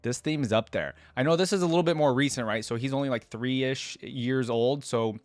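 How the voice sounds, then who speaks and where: A man's voice: mid-range voice, fairly quick, no room sound. A man giving casual, conversational reaction commentary in an informal, enthusiastic way. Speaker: reaction video host. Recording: music playing behind the voice. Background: song being reacted to.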